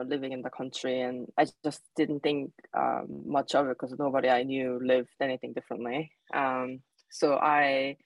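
A woman's voice: low-pitched, no echo, poor audio. A woman from South Korea in her thirties with a Korean accent, a guest chatting freely with many "you know" and "like" fillers. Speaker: guest, travel blogger. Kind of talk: casual interview answers.

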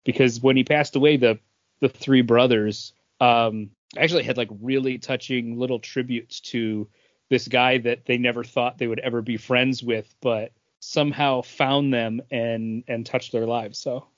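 A man co-hosting a podcast in casual chat, telling things in a halting, conversational way. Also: mid-range voice, fairly even intonation, no echo. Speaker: podcast co-host. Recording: dull lo-fi audio.

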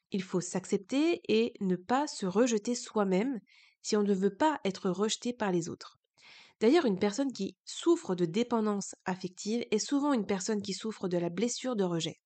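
A woman's voice: medium pitch, a little mellow. Woman, podcast host, stuffy voice from a cold, candid, reflective, close mic. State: voice changed by a cold. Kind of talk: personal confessional monologue.

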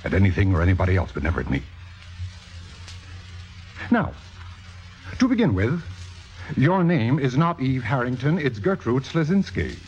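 A man's voice: medium pitch, huge pitch swings, moderate pace, long pauses, darkish tone. A man playing a cynical, commanding theater critic in a vintage radio drama, with precise, sardonic, possessive delivery. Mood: cold, menacing. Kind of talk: 1950s radio drama acting. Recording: dull vintage radio recording, music behind.

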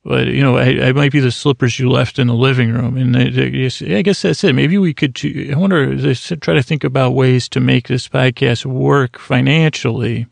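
A man's voice: medium pitch, fairly even intonation, normal speed, creaky, few pauses, no room sound. A man hosting a sleep podcast, talking in a meandering, self-deprecating monologue full of restarts and asides. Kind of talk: rambling monologue for falling asleep.

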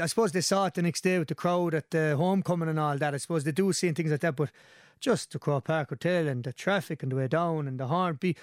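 A man with a Limerick Irish accent talks sport in a casual, enthusiastic, rambling way. His voice sits on the high side and is slightly hoarse. The sound is dry, with very little room on it. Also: no room sound. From Limerick, Ireland. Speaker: sports podcast pundit and commentator.

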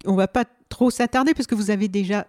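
A woman's voice: mid-range voice, fast talker, a little mellow, no room sound, studio quality. A woman hosting an interview, asking courteous, carefully framed questions. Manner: courteous, supportive backchannels. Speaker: interviewer, radio host.

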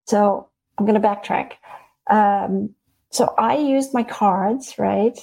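A woman from the Netherlands, telling personal stories in a casual, animated, halting way as an interview guest. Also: medium pitch, gravelly, husky, rough recording. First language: Dutch. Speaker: interview guest, spiritual channeler. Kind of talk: casual conversational storytelling.

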